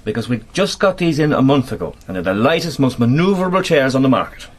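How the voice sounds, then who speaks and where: An actor playing an upbeat, encouraging wheelchair fitter in a naturalistic radio drama. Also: male voice, medium pitch, animated, fast talker, natural voice.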